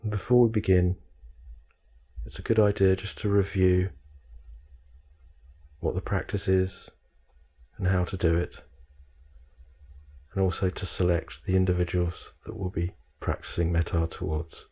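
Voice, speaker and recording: A man guiding a meditation, speaking calmly in measured short phrases with frequent pauses. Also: low voice, breathy edge. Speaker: meditation guide. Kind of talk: guided meditation instruction.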